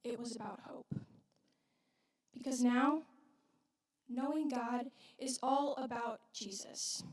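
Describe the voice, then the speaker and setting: A woman acting a slow, high-voiced widowed young mother. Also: fairly even intonation, darkish tone. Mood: reverent, hopeful. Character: widowed young Galilean mother, wounded, reverent witness of Jesus. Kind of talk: dramatic church monologue. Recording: echoey hall, distant microphone.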